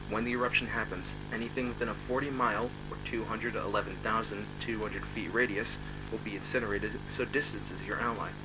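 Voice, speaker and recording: A man playing a grave, formal emergency broadcast announcer, reading solemnly and deliberately from an analog horror script. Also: on the low side, heavy background noise, lightly acted. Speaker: emergency alert narrator.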